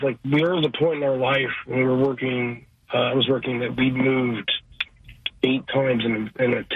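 A man in his forties from Cincinnati, a guest on a radio interview, talking casually with lots of pauses and fillers. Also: mid-range voice, slightly rough, no room sound. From Cincinnati, USA. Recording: phone line.

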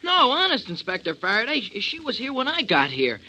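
An actor playing an eager, flustered, streetwise sidekick in a 1940s radio drama, pleading earnestly in slangy talk. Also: male voice, very high-pitched, huge pitch swings, a little fast, pushed and bright, heavily acted, rough recording. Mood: pleading, defensive.

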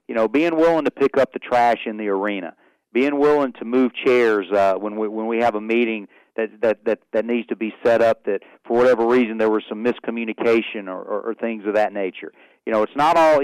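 A man's voice: mid-range voice, clean tone, dry recording, rough recording. A man in his forties with a Southern accent from Oklahoma, a guest on a radio show, talking in a folksy way and often repeating words. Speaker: guest, athletics director. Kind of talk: radio interview.

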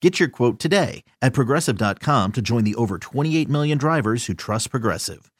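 A man's voice: mid-range voice, expressive, brisk, husky, no room sound. Dry studio man reading an ad. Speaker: ad announcer. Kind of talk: scripted podcast ad read. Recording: close, dry studio sound.

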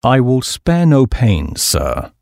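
A man voicing an unflappable, deferential English valet, with a formal, measured and deadpan British delivery. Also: medium pitch, creaky, no echo, studio quality, full character voice. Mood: deferential, composed.

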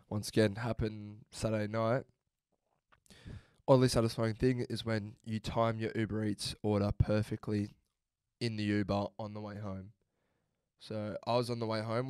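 Laid-back young bloke, lowish voice. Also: male voice, darkish tone, smooth, dry recording. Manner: laid-back, swearing, laughs at his own jokes. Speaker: podcast co-host. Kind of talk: casual chat.

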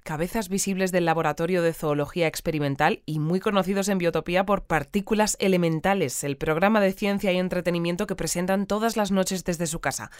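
An actress playing a composed radio newsreader in an audio fiction, reading scripted news in a measured, polite, deadpan way. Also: female voice, mid-range voice, smooth, no room sound, studio quality, close to an everyday voice. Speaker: news presenter.

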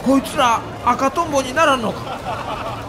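A man's voice: very high voice, unhurried, a crowd in the background, background noise. A man playing a good-humoured veteran baseball player with a Kansai accent, earthy and conversational, in an audio documentary. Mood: musing, joking. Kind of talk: acted dialogue in an audio documentary.

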